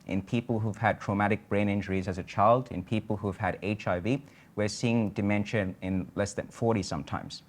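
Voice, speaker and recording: Man giving a measured medical lecture. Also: fairly low pitch. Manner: measured, explanatory, frequent ums. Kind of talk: conference lecture.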